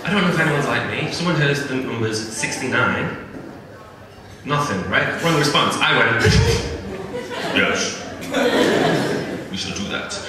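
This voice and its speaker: A man's voice: medium pitch, huge pitch swings, a little slow, long pauses, a crowd in the background. A young man with a Liverpool accent doing a chatty, self-deprecating stand-up set, tagging lines with "right?". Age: young adult. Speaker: stand-up comedian. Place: comedy venue with a live audience. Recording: echoey room, distant mic, audience laughter.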